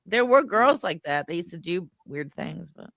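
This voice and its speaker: A woman's voice: lowish voice, very animated, normal speed, fairly bright tone, dry recording, clean studio sound. A woman in her forties chatting bluntly and laid-back on a livestream. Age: 40s. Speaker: guest streamer. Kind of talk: casual livestream chat.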